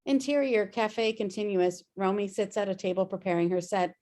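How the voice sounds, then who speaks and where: A woman's voice: mid-range voice, fairly even intonation, normal speed, darkish tone. A woman reading screenplay stage directions as scripted narration on a video-call table read.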